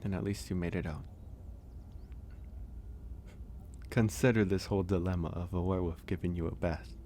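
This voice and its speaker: A man playing a gentle, caring werewolf in a soft, intimate ASMR audio roleplay, speaking hesitantly and reassuringly with frequent pauses. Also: low voice, fairly even intonation, a little hiss or hum, lightly acted. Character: gentle male werewolf, caring stranger nursing a guest.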